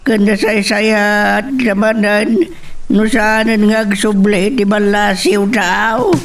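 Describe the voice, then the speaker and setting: A man's voice: sits high, little pitch movement, slow. A man playing a kindly, easygoing father in a radio drama. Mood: fond, unhurried. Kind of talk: Ilocano radio drama acting. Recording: slightly distant microphone.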